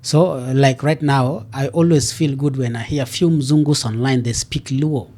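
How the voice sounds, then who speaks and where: A man's voice: mid-range voice, moderate pace. A man with a Kenyan accent hosts a podcast interview and keeps murmuring "mm-hmm" and "yeah" in agreement. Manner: steady stream of affirming "mm-hmm" and "yeah". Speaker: podcast host. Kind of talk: interview conversation.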